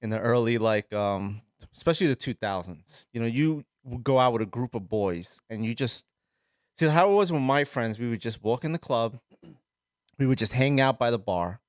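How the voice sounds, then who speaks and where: Sicilian-born New Yorker in his thirties, loose and animated podcast co-host, mid voice, dry mic. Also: medium pitch, normal speed, dry recording. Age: 30s. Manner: rambling, animated storyteller, swears. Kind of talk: casual chat.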